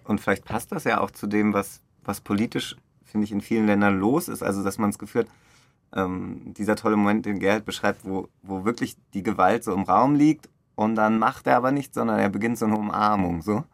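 A man's voice: fairly low pitch, fairly even intonation, fairly quick, dry recording. A man in a casual, reflective interview, talking as a director in loose, informal phrasing. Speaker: radio play director interviewed.

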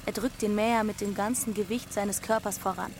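A woman's voice: medium pitch, steady tone, a little fast, noisy background, lightly acted. A woman playing a young runaway girl who narrates in a plain, observant way in a radio drama. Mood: observant, calm. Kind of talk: scripted first-person narration.

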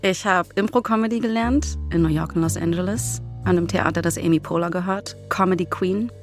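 A woman's voice: lowish voice, fairly quick, soft background music. A woman chatting informally and playfully as a podcast co-host. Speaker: comedy writer, podcast co-host. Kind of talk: casual chat.

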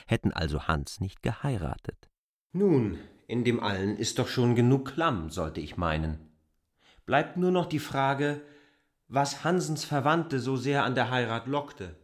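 A man's voice: mid-range voice, no room sound, clean studio sound. A man playing a shrewd, persistent newcomer in a literary radio drama, probing in formal, argumentative speech. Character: persistent, shrewd male newcomer questioning a landlady.

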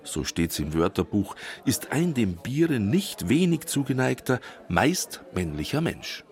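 A man narrating a documentary feature in a wry, measured storytelling manner. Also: mid-range voice, big pitch swings. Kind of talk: scripted narration.

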